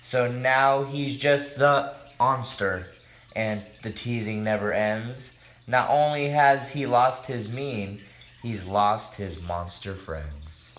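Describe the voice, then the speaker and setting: A man reading a picture book aloud to young children, expressive, with casual asides to his listeners. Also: medium pitch, slow pace. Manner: expressive, simple addresses to children. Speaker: children's story time reader. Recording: echoey room.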